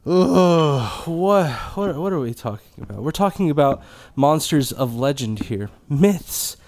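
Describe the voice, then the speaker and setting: A man's voice: fairly high pitch, lively intonation, a little slow, a little mellow. A young man playing a flustered skeptic, stammering and incredulous, in an audio drama. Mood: exasperated.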